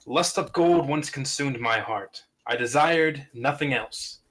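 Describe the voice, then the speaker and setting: A man's voice: medium pitch, measured pace, fairly bright tone. A man playing a devoted fatherly dragon in a fantasy script table read, with earnest, grandiose storybook phrasing. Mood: solemn devotion.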